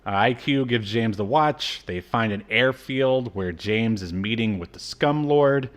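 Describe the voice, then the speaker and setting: A man's voice: medium pitch, a little slow. A man co-hosting a podcast, giving a casual, comic recap with incredulous, mocking asides. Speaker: podcast co-host.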